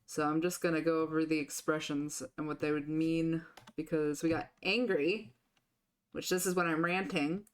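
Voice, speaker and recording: Low-voiced woman with a sore throat. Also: steady tone, normal speed, clear, resonant tone. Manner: rambling, many filler restarts. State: sore throat, deeper voice than usual. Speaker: streamer. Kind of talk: casual live-stream chat.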